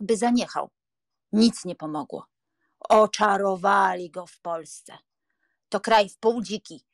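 A woman's voice: medium pitch, no room sound, studio quality. A woman playing a pious, fretful elderly aunt in an audiobook drama, scolding, sighing and lamenting. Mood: grave, sorrowful.

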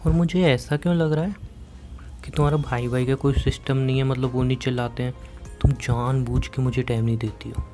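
Mellow young Indian man on a phone call. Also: medium pitch, steady tone, brisk. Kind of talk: casual intimate phone chat. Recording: phone call recording, faint music.

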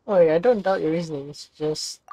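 A woman's voice: low voice, measured pace, dark tone, a little hiss or hum. A woman playing a game, reading its dialogue aloud and adding casual commentary. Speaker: game player.

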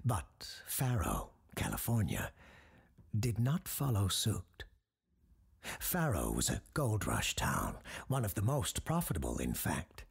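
An actor playing a grave, scholarly doctor who recounts dark legends in a horror audio drama, husky and ominous, with deliberate dramatic pauses. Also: male voice, sits low, exaggerated voice. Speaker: character host telling a tale.

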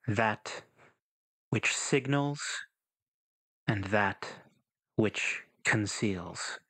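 A man voicing an enigmatic, quietly menacing figure with slow, measured, cryptic speech in a tabletop roleplay. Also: lowish voice, breathy edge, heavily acted. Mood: taunting. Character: enigmatic, menacing man cloaked in smoke, calmly goading.

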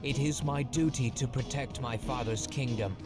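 A man's voice: medium pitch, normal speed, natural voice. A man voicing a dutiful, obedient young prince in a video game, formal and subdued. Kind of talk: video game cutscene acting. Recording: loud game music over the voice.

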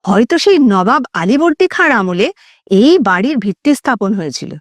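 Expressive high-voiced woman narrating a story. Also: no echo. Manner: dramatic, deliberate phrasing with acted dialogue. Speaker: audio story narrator and host.

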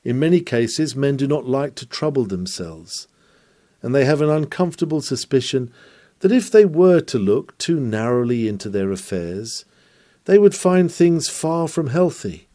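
A man's voice: medium pitch, animated, moderate pace, dark tone. A man reading aloud an old sermon in a declamatory preaching style, pushing up hard on exclamations and questions. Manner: declamatory, rising emphasis on exclamations. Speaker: reader of a sermon.

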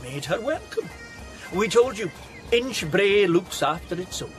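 A man's voice: high voice, sing-song, unhurried, over music. A man in a radio drama playing a sly village constable, falsely polite and insinuating. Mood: quietly menacing.

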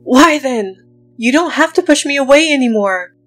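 A woman's voice: high voice, expressive, fairly bright tone. Woman acting a devoted, defiant heroine over music. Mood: pleading. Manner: earnest, emotional, dramatic pauses. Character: devoted, wounded but defiant woman, a protective inventor. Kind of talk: dramatic comic dub voice acting.

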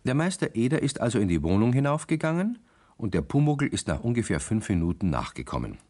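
A man's voice: mid-range voice, big pitch swings, fast, darkish tone. A man narrating a children's radio story in a playful way, speaking directly to young listeners.

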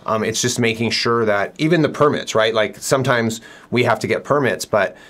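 A man's voice: medium pitch, moderate pace, a bit bright. A middle-aged man hosting an interview, asking a guest conversational questions with plenty of fillers, seated indoors in an office. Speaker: builder and show host.

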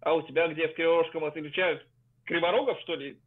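A man's voice: a little high, steady tone, quick pace. A man in his thirties on a video call with an earphone mic, combative and dismissive. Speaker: chat roulette stranger. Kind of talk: argumentative video chat. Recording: tinny video call line.

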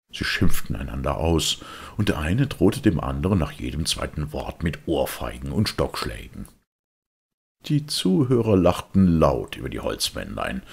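A man reading a children's story as an expressive audiobook narrator. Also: very deep voice, gravelly, husky.

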